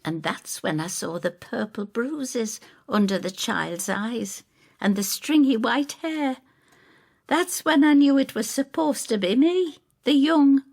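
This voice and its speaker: Actress putting on tart old lady in a spooky radio play, rueful n bitter, voice lifts high and swoops about. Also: darkish tone, full character voice. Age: older. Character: Effie, bitter, self-critical older Whitby woman, a witch. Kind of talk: audio drama storytelling dialogue.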